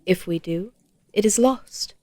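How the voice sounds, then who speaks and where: A woman playing a serene, literal-minded clockwork being in a fantasy audio drama, speaking in a measured, formal, matter-of-fact way. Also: medium pitch, dark tone, lightly acted.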